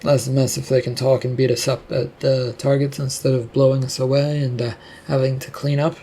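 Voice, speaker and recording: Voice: medium pitch, little pitch movement, moderate pace, dark tone, slight background noise, lightly acted. A narrator voicing a cheeky, reckless man who stays unfazed in an audio story. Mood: matter-of-fact.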